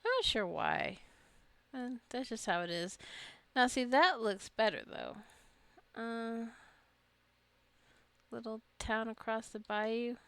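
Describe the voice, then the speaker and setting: A woman's voice: on the high side, takes it slowly, long pauses, a bit bright, clean tone, no room sound. A woman giving casual gameplay commentary, rambling and thinking aloud as she plays. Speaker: gamer narrating her own gameplay.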